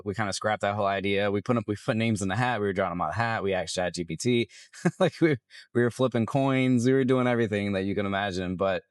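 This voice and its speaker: A young adult man with a hoarse, raspy voice, chatting casually and rambling as he loses his train of thought. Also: fairly low pitch, no echo, studio quality. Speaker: vlogger. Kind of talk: casual vlog chat.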